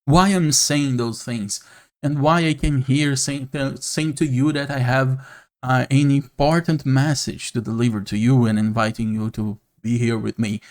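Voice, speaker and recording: Man, Brazilian Portuguese accent, non-native English, unhurried, earnest, halting, camera monologue. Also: mid-range voice, fairly even intonation. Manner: slow, earnest, with frequent pauses and fillers. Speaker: YouTube channel host. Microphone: camera mic, speaking to camera.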